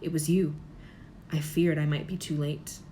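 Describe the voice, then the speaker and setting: A woman voicing a kindly old male headmaster in a storybook reading, gentle, measured and formal. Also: low-pitched, flat delivery, a little hiss or hum, voice pushed down, lightly acted.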